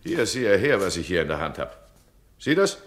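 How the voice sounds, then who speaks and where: A man's voice: deep voice, sing-song, moderate pace, a little hiss or hum. An actor playing an imperious, irritable duke in a radio drama, curt and contemptuous as he gives orders. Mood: stern, accusing.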